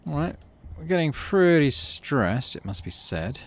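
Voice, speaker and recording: A man's voice: a little high, sing-song, measured pace. A middle-aged man hosting a let's play, reading game text expressively and making casual muttered asides to himself. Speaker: gaming channel host.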